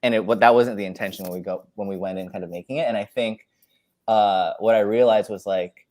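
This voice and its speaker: A young man with a Californian accent talks in a low voice, close and dry. He reflects casually and tentatively, wandering through his answer with plenty of filler words. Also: steady tone, fairly quick, mellow, no room sound. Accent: Californian American. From San Francisco Bay Area, US. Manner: rambling, tentative, peppered with "like" and "kind of". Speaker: filmmaker, panel guest. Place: dim room at home.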